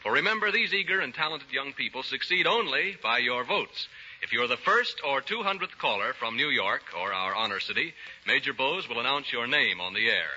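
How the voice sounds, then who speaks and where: A man's voice: medium pitch, expressive, brisk, sharp tone. A man with an American accent announcing a scripted 1930s radio show in an emphatic, formal selling style. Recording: dull, noisy 1930s radio recording.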